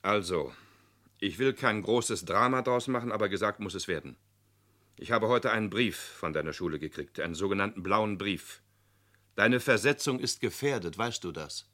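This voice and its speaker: A man's voice: on the low side, normal speed, sharp tone. An actor in a radio play playing a stern but fair father who speaks plainly and admonishingly. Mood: stern, earnest. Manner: emphatic, admonishing, plain-spoken. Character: stern but fair father lecturing his teenage son. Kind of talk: German radio play acting.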